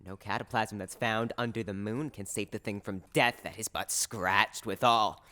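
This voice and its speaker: A man's voice: lowish voice, fairly even intonation, moderate pace, pushed and bright. A male actor playing a hot-tempered young nobleman in a Shakespearean audio drama, with intense theatrical delivery. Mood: vengeful resolve. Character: vengeful grieving young nobleman, hot-tempered son and brother.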